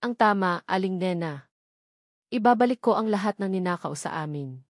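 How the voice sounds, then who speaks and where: A woman's voice: mid-range voice, animated, normal speed, no echo, clean studio sound, close to an everyday voice. A woman voicing a determined, wealthy businessman who gives firm, authoritative orders in clipped phrases for a dramatic story. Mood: resolute. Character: commanding, determined wealthy businessman in his prime. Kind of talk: dramatic audiobook character voice.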